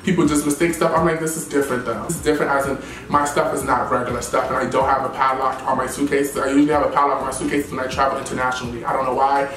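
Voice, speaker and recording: A young man in his twenties telling a storytime vlog with animated, expressive delivery and sassy asides, filmed in a room by a camera a little way off. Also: mid-range voice, very fast, hardly any pauses. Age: 20s. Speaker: YouTuber. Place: indoor room with plain wall and plant. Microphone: camera at a distance, chest-up framing. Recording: roomy, somewhat distant sound.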